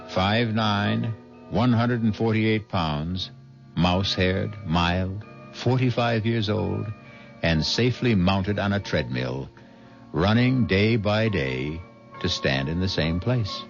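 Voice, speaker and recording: Male mystery host, low-pitched with sweeping intonation, wry scripted narration, music underneath. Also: lively intonation, creaky. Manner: wry, theatrical, deliberate pauses. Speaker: radio drama host and narrator. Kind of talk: scripted dramatic narration, 1970s radio. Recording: dull 1970s radio recording, music behind.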